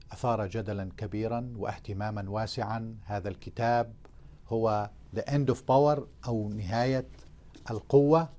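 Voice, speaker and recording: A man's voice: fairly low pitch, a little mellow. A man hosting a formal TV interview, introducing his guest and asking long, hesitant questions with many fillers. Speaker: TV interview host.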